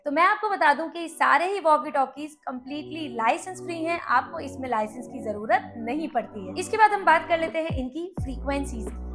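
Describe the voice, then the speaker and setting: Indian lady w high voice doing casual product comparison vid, explains stuff, music playing behind. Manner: explanatory, mixes English words into speech. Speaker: product review host.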